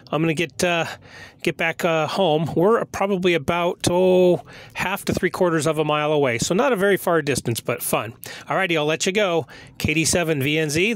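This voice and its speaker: A man's voice: fairly high pitch, dry recording. A man chatting casually as a radio hobbyist and video host, rambling and hesitant, recorded inside a car. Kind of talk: casual vlog narration.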